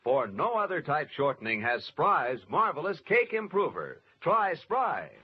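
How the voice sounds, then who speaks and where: A man's voice: very animated, a little slow, slightly rough. A man announcer delivering an enthusiastic 1940s radio advertisement. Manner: enthusiastic sales pitch. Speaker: commercial announcer. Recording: muffled old radio recording.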